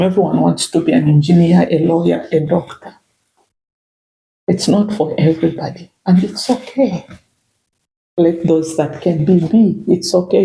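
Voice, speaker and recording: A Zambian-accented woman with a gravelly, mellow voice that sits fairly low for a woman. She talks in a loose, reflective way, circling back with "you know" as she thinks. The recording carries a roomy echo. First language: Bemba. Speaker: guest. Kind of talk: casual interview chat. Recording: echoey room.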